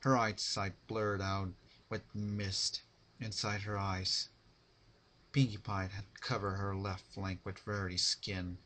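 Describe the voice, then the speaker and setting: Slow, forceful man narrating a story. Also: on the low side, level tone. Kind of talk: dramatic fan fiction reading.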